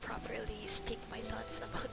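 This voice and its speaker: A woman's voice: fairly high pitch, monotone, measured pace, vocal fry, in a whisper. A woman giving casual commentary while she plays a game, rambling and searching for her words. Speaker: gamer playing through a story quest. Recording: loud game music behind. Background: video game music.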